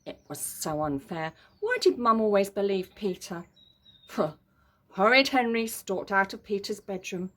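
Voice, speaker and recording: A woman's voice: medium pitch, big pitch swings, measured pace, fairly bright tone. A woman narrating a children's story in a dramatic, emphatic way. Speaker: story reader.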